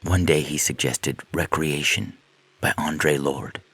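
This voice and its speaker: A man with a deep, husky voice reading a scripted science fiction story as narrator. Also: fairly even intonation, slow pace, a bit bright, dry recording.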